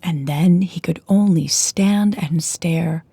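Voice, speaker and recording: A woman narrator reading a scripted fairy tale in a measured storybook delivery, with phrase endings falling to a hush. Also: on the low side, vocal fry, slightly rough, no room sound. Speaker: audiobook narrator. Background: music.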